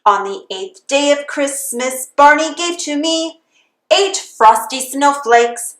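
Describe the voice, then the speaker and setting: A woman with a high voice delivers a children's read-aloud in the manner of a Christmas carol. She takes it slowly and steadily. The room adds a clear echo around her voice. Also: expressive, a bit bright. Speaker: storybook reader.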